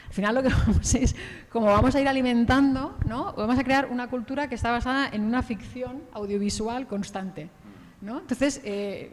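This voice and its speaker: Reverberant hall, faint noise; female panel speaker, medium pitch, halting, keeps checking for agreement. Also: a little mellow. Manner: halting, seeks agreement with frequent question tags. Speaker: journalist on a discussion panel. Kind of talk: spontaneous panel discussion.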